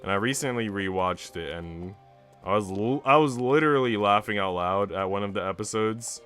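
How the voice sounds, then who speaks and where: Man, lowish voice, casual livestream chatter, music playing behind. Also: moderate pace, a bit bright, smooth. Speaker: livestreamer reading visual novels.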